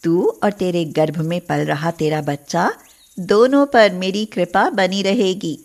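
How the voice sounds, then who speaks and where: A woman playing a protective, commanding mother goddess in an animated devotional tale, solemn and maternal in manner. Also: fairly low pitch, a little slow. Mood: tender, protective. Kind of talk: animated devotional story acting.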